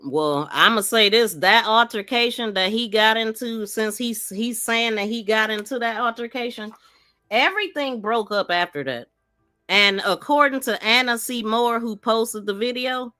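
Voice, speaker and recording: A woman's voice: mid-range voice, sharp tone, no echo, clean studio sound. A woman hosting a casual true-crime commentary, speaking in a skeptical, questioning manner. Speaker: podcast host.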